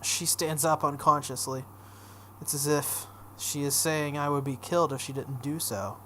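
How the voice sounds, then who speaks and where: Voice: medium pitch, moderate pace, a bit bright, a little hiss or hum. A let's play host reading visual novel text aloud dramatically, breaking off into casual reactions.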